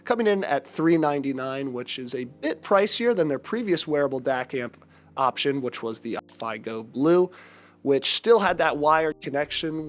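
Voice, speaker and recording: Congested, upbeat male gear reviewer. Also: medium pitch. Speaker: audio product reviewer. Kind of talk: scripted product review.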